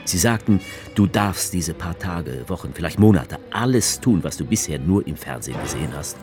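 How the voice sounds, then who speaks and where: A grim male writer recounts a staged war in a radio play. His voice sits extremely low, and the delivery is stark and incantatory. Music plays clearly beneath him. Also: animated, normal speed. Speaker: author character narrating. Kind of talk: radio drama narration. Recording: music under the voice.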